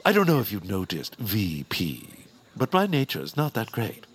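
A greedy, pompous baron villain in a comic audio drama. His delivery swings dramatically up and down in pitch. He sneers theatrically and sounds gleefully spiteful. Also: huge pitch swings, moderate pace, slightly rough, full character voice. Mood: smug, dry.

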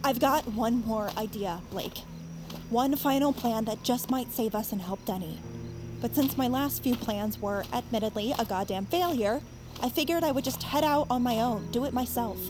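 A woman's voice: fairly high pitch, normal speed, music in the background, lightly acted. A young woman playing a headstrong, defensive girl in an audio drama, with a blunt, defiant, sarcastic delivery. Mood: bitter, resolute. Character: headstrong, defensive girl plotting to fight monsters alone.

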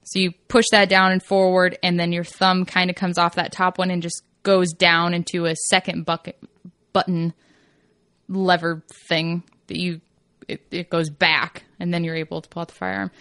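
Woman explaining in halting, searching phrases. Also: on the low side, level tone, a bit bright, smooth, dry recording, clean studio sound. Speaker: co-host, new shooter. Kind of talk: casual chat.

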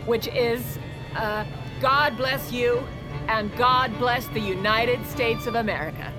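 Grand, over-polite First Lady: higher, projected public-address delivery, audio drama with music underneath. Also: slow. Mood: grand, stalling.

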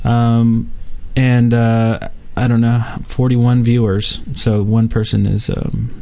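A man's voice: medium pitch, level tone, takes it slowly, dark tone. A man hosting a podcast, chatting casually and without a script about games. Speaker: podcast host. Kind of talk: casual unscripted gaming chat.

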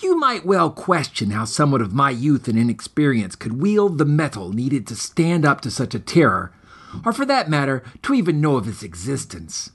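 A man narrates as host and author with a wry, mock-grave air. His pitch moves expressively through ornate phrases, and his voice has a slightly rough, husky finish. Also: huge pitch swings, moderate pace. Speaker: podcast host and author reading his own story. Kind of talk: wry introduction and dramatic story narration.

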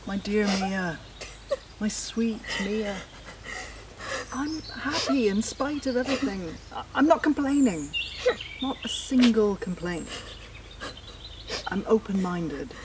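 Forgiving, tender spouse played by a woman, gentle repeated reassurances, audio drama, clear birdsong and outdoor noise. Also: mid-range voice, expressive, takes it slowly, long pauses. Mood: tender, pleading. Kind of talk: naturalistic audio drama acting.

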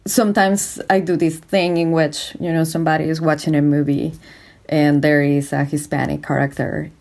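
A woman's voice: low voice, flat delivery, moderate pace, gravelly, darkish tone. A woman scientist from Colombia with a Colombian Spanish accent, speaking candidly and chattily. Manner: candid, self-aware, chatty. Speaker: postdoctoral biologist.

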